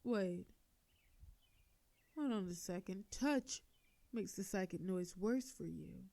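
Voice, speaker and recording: A woman's voice: mid-range voice, gravelly, warm tone. A woman playing a sardonic telepathic supervillain in a scripted audio drama, with a theatrical, teasing way of drawing out her words. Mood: gentle, commanding. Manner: theatrical, taunting, drawn-out words. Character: sardonic female telepathic supervillain, menacing yet softening.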